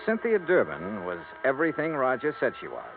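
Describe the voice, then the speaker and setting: Tinny old radio detective show, a blunt wry hard-boiled investigator, very animated pitch. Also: male voice, fairly quick, music in the background. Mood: brisk, narrating. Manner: blunt, pressing questioner, dry wry narrator. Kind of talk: 1950s radio detective acting with narration. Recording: tinny, hissy 1950s radio recording.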